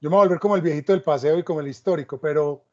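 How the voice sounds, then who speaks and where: A man's voice: a little high, very fast, crowd noise. A man moderating an academic panel, reflecting on the topic and adding stories. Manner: reflective, storytelling asides. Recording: dull lo-fi sound.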